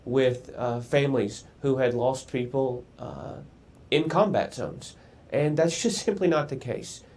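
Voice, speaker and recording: A man giving political commentary on television in a measured, deliberate way. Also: mid-range voice, darkish tone.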